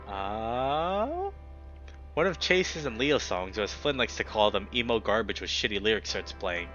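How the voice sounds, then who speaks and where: Bright-voiced man reading aloud over music. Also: on the low side, very animated, unhurried, lo-fi sound. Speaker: let's player reading a visual novel aloud. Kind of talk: expressive narration of story text.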